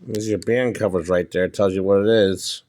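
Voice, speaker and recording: A middle-aged American man talking casually and off the cuff in a halting, matter-of-fact way, showing items at a table indoors on a handheld phone. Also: deep voice, steady tone, heavy background noise. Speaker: hobbyist presenter. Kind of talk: casual off-the-cuff product show-and-tell. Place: indoors at a wooden table in a home. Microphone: handheld phone, speaker behind camera.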